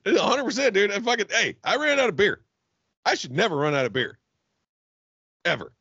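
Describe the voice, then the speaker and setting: Loud, swearing podcast co-host. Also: male voice, high-pitched, very animated, fairly quick, breathy edge, no echo, muffled recording. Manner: loud, swearing, laughs often. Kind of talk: casual profane chat. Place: home room, remote video call. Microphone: studio mic on arm, headphones.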